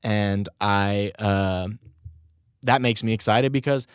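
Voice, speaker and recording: Laid-back young man, low voice. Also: takes it slowly, clean tone, no room sound, clean studio sound. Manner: laid-back, rambling, swears often, laughs at himself. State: voice recovering, slightly strained. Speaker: podcast host and rapper. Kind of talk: casual solo podcast chat.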